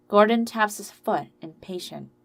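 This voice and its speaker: A woman's voice: mid-range voice, expressive, unhurried, creaky. A woman reading scripted narration for an amateur audio drama story.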